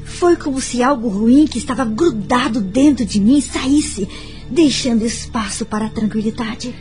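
An actress playing a reflective, forgiving woman telling her story in a radio drama, earnest and measured. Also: a little high, darkish tone, soft background music, lightly acted. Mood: serene, earnest. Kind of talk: radio drama acting with narration.

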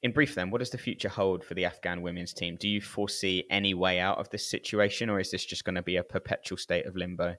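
A man's voice: low-pitched, steady tone, quick pace, few pauses, sharp tone. A man co-hosting a podcast, chatting and relating stories in a casual, conversational way. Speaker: podcast co-host. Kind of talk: casual chat and storytelling.

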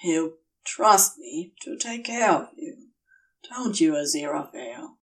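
A woman's voice: low voice, animated, slow pace, distant mic, voice pushed down, exaggerated voice, poor audio. A woman voicing a guarded, strained male demon who coaxes in clipped phrases as a caretaker in an audio story. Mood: probing.

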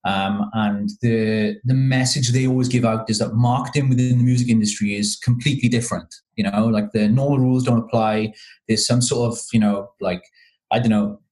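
A man's voice: medium pitch, a little mellow, poor audio. A man from Wales, a podcast guest talking casually and hesitantly with many ums and restarts. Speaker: podcast guest, marketing consultant.

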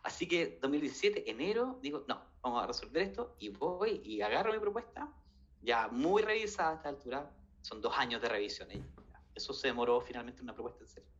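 A man's voice: medium pitch, lively intonation, fast talker. Chilean man in his thirties, hesitant guest recounting events, dull lo-fi recording. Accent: Chilean Spanish. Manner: hesitant, many fillers and restarts. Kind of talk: casual storytelling.

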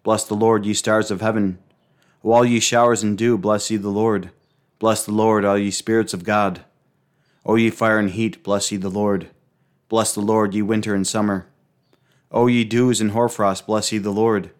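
A man reciting liturgical prayers, psalms and hymns in a solemn, measured, reverent manner. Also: lowish voice, level tone, clear, resonant tone.

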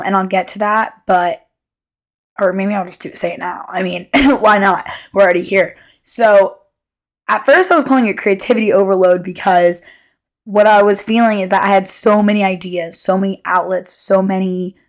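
A woman's voice: mid-range voice, fairly even intonation, moderate pace. A woman of twenty hosting a solo podcast in a casual, unscripted way, candid and rambling, often interrupting herself. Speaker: podcast host.

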